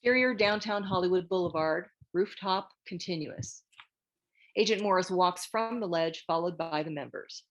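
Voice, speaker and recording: A woman's voice: on the low side, normal speed, highs cut off. A woman reading scripted screenplay narration, stage directions and scene headings as a narrator.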